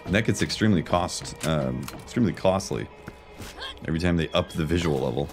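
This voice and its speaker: A man's voice: rumbling low, expressive, fairly quick. A man streaming a video game, chatting casually in an informal, slangy way as he muses and comments on the game. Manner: informal, slangy, conversational musing. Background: video game music.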